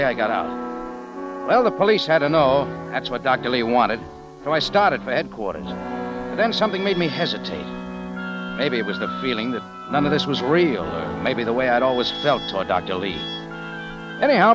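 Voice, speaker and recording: An actor playing a terse, world-weary hard-boiled adventurer, hero and narrator of a 1940s radio noir, on an old recording. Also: male voice, mid-range voice, lightly acted. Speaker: protagonist and narrator. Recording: dull vintage radio recording, music behind.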